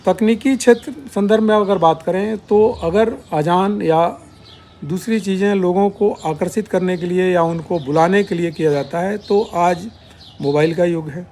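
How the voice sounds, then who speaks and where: High-pitched man, halting explainer. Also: a little mellow. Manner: halting delivery in short broken phrases. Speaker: YouTube channel host. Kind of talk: spoken explainer, point by point.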